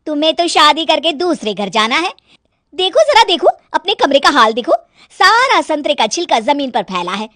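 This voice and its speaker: A woman voicing a bossy, fault-finding young daughter-in-law who scolds in a shrill, loud voice in a storybook cartoon. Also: big pitch swings, normal speed, no room sound, clean studio sound, full character voice. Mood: scolding.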